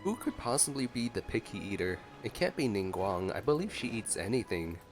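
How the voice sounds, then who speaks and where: A man's voice: on the low side, big pitch swings, a little fast. A man giving casual, chatty gameplay reaction commentary as a streamer. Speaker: gaming streamer. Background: faint game music.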